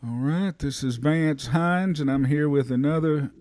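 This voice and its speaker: A man's voice: on the high side, measured pace, no room sound. Dry-sounding male podcast host. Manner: relaxed, hesitant with fillers and repeats. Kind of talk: casual interview.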